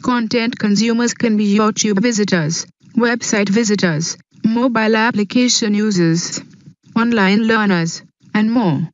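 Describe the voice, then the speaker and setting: A woman's voice: a little high, no room sound. A woman's synthetic text-to-speech voice reading scripted narration. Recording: dull lo-fi sound.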